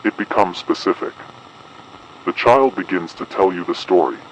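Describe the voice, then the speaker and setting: A man playing an official announcer delivering a measured, formal, ominous emergency advisory in an analog horror broadcast. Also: low-pitched, slight background noise, close to an everyday voice, poor audio. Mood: grave, ominous. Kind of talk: scripted analog horror public broadcast narration.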